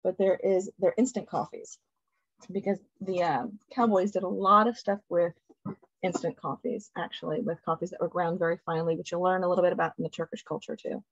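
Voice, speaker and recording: A woman hosts a class over a video call. The audio is dull and dry, with no room sound. She talks at a middle pitch and often breaks off mid-sentence to start again. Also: steady tone, a little fast, slightly rough, dry recording. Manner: loose, restarting sentences with "um" fillers. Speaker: host. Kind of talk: hosting an online class.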